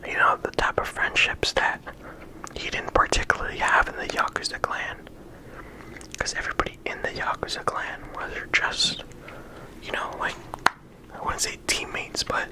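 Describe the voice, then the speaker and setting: Hushed ASMR whisper, casual and halting. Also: low-quality recording. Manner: casual, halting phrasing with frequent "like" and "you know". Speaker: video creator and host. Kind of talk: whispered ASMR character discussion.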